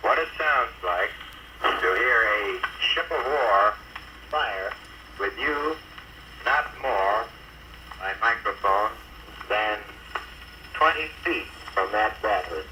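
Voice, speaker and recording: A man's voice: mid-range voice, lively intonation, takes it slowly, long pauses, pushed and bright. An American man gives an eyewitness war report as a 1940s radio correspondent, on a noisy wartime field recording.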